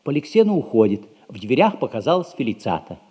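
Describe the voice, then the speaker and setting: A man with a soft voice giving scripted narration of scenes in a stage play. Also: medium pitch, big pitch swings, fast talker, husky.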